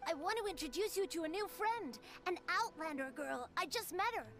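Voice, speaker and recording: A woman's voice: very high voice, expressive, a little fast, a bit bright, soft background music, heavily acted. A woman voicing a chatty, cheerful young boy in a game cutscene, rambling with enthusiasm.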